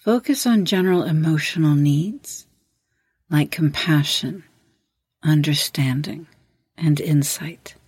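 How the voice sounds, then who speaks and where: A woman with a low voice leads a guided meditation in a measured, instructional way. Her tone is slightly husky, and she leaves long pauses between prompts. The sound is very dry, with no room around it. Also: a little mellow, no echo. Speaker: host, guided meditation leader.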